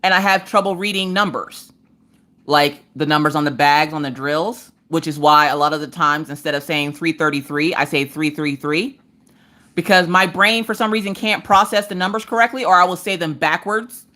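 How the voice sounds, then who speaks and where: A woman hosting a live crafting stream, chatting casually with viewers, talking fast and sassy, with an occasional stutter. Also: deep voice, pushed and bright. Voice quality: stutters at times. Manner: talks fast to cover a stutter; sassy and playful, swears freely. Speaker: livestream host of a crafting channel. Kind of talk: casual live chat, reading viewer comments.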